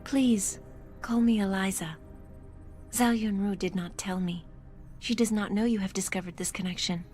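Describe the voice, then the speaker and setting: A woman's voice: mid-range voice, fairly even intonation, a little mellow, faint music behind, lightly acted. Woman acting a poised, enigmatic, formal news anchor. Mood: composed. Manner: measured, formal, polite, no contractions. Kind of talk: video game voice acting.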